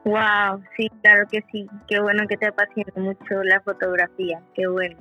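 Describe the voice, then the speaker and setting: An interview host speaking in a halting way who repeats words of thanks. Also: mid-range voice, monotone, bright, forceful tone, dull audio, faint music behind. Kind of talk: hosting an interview.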